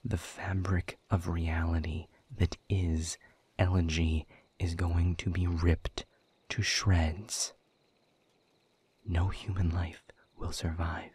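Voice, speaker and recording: A man playing a bitter, world-weary demon in an audio roleplay, speaking in a husky, breathy voice with sardonic, deliberate emphasis. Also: low voice, a little mellow, heavily acted. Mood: grave, despairing. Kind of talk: scripted audio roleplay monologue.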